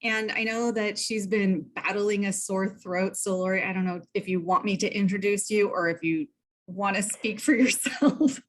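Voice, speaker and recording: A woman's voice: medium pitch, moderate pace. A woman instructor hosting an online information session, friendly and organized, speaking through a computer mic on a video call. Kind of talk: casual online meeting.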